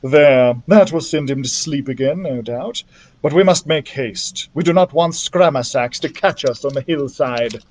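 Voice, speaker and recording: A man's voice: on the high side, unhurried, close to an everyday voice. A man voicing a smug, pompous storybook villain with mock-polite menace, bent on revenge. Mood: commanding. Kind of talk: storybook character voice.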